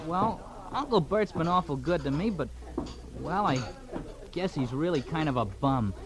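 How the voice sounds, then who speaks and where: High-pitched, worried streetwise newsboy. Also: male voice, expressive, normal speed, background noise. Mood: anxious, earnest. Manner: hesitant, slangy, plain-spoken. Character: worried, earnest streetwise newsboy, polite to adults. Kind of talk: 1940s radio drama acting. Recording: vintage radio, crowd murmur behind.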